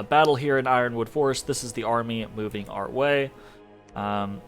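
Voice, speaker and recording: A young man talks through a strategy game in a loose, rambling way, full of fillers and quick laughs. His voice is congested and a bit sniffly from allergies. Faint music plays behind him. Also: medium pitch. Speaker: game commentator. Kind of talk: casual gameplay commentary.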